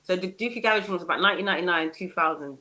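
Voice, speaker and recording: A woman with a raspy voice, a guest singer telling chatty stories in a casual podcast interview and laughing at herself. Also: lowish voice, rapid-fire, sharp tone, poor audio. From United Kingdom.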